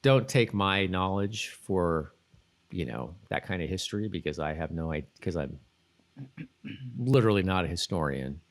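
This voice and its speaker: A man in middle age with a fairly low voice chats casually as a podcast co-host. He talks in a halting way, qualifying what he says and backing up to correct himself. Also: animated. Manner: hedging, halting, self-correcting. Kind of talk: casual chat.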